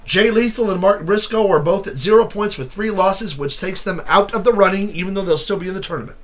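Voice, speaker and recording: High bright-voiced man, losing his voice. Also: fairly quick. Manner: ranting, swearing, shouting in bursts. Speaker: wrestling podcast host. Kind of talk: casual chat.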